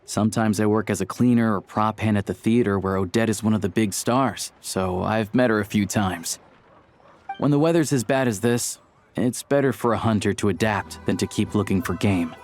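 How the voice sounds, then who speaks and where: A practical young male hunter from a video game, acted by a man. His voice is fairly low, with an easygoing, friendly and thoughtful way of talking, over faint music. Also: moderate pace, natural voice. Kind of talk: English game dub dialogue.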